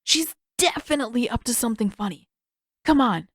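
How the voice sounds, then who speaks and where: A woman's voice: a little high, animated, a little fast, dry recording, clean studio sound, voice pushed up. Woman voicing a bossy, emphatic teenage older brother. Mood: conspiratorial, irritated. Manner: emphatic, accusing.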